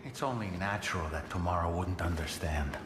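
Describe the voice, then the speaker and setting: A man playing a matter-of-fact, gently teasing character in a video game cutscene. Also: deep voice, fairly quick, lightly acted. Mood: calm, assured. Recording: echoey hall, noisy ambience.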